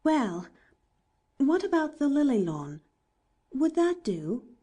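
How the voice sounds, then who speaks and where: A woman's voice: sits high, sing-song, slow, warm tone, voice pushed up, full character voice. A woman voicing a shy young girl who speaks hesitantly and politely, trying to sound grown-up.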